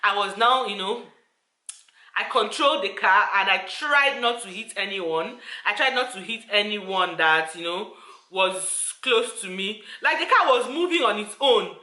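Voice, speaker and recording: A woman's voice: medium pitch, animated, a little slow, pushed and bright, roomy sound, rough recording. A woman telling a personal story to her viewers, earnest and repetitive, often calling out to them directly. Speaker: vlogger.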